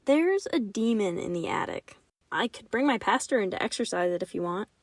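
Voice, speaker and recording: A woman's voice: medium pitch, lively intonation, brisk, no room sound, distant mic. A woman playing an uneasy but helpful visitor in an audio play about a haunted house, set back from the mic.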